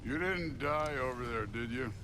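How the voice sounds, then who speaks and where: An actor voicing a gruff, domineering warrior god in a video game, curt, scornful and spoiling for a fight. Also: male voice, lively intonation, normal speed, lightly acted. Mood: dry, scornful. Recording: loud game ambience and rumble behind.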